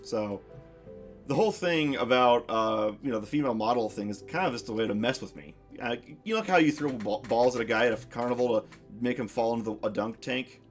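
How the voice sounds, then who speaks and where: A man's voice: medium pitch, brisk, soft background music. Rambling, reflective male streamer. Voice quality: masculine voice. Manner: rambling, reflective, many restarts and fillers. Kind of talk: casual stream chat.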